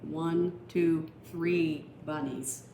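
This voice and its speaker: A woman reading a picture book aloud for children, expressive and explanatory, with teacherly asides. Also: low-pitched, vocal fry. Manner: explanatory, expressive, pausing to define words. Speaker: storytime reader. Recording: echoey room with faint noise.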